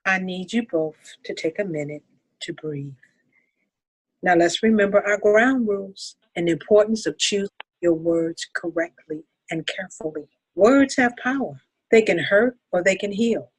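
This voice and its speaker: A woman playing a composed, patient couples counselor in a dramatic table read, talking in a measured, instructive way. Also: mid-range voice, slightly rough, natural voice, low-quality recording. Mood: calm, instructive.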